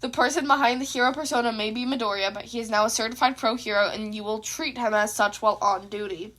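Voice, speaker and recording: A woman's voice: on the high side, steady tone, fast talker, fairly bright tone, pitched below the performer's own voice, lightly acted. A woman voicing a stern, exasperated adult male teacher and hero, speaking in curt commands. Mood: calm, stern.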